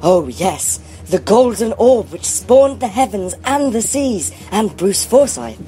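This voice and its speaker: A woman's voice: medium pitch, big pitch swings, slow pace, dark tone, soft background music. A woman playing an earnest, awed young adventurer in a comic radio play.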